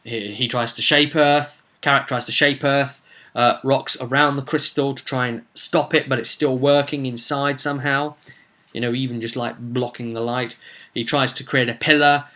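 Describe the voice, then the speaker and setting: Man, bright forceful voice, game master, casual storytelling, dramatic, suspenseful repetition. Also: medium pitch, fairly even intonation, normal speed. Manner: dramatic, repeats phrases for suspense. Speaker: game master recapping a tabletop roleplay session. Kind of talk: casual animated storytelling recap.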